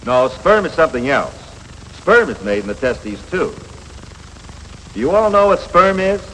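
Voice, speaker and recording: A man's voice: a little high, big pitch swings, normal speed, fairly bright tone. An actor playing a patient, matter-of-fact instructor of boys in a 1950s educational film, an American man who explains things plainly and reassuringly. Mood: frank, reassuring. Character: patient, matter-of-fact male coach teaching adolescent boys. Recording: noisy, reverberant 1950s film soundtrack.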